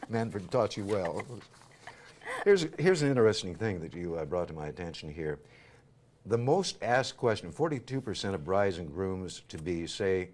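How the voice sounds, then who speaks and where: A man's voice: sits low, very animated, a little slow, a little hiss or hum. A man hosting a cable talk show, chatting with a guest in a studio, joking and reading out listener questions. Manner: joking, teasing, reads listener questions aloud. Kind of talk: casual studio chat with a guest.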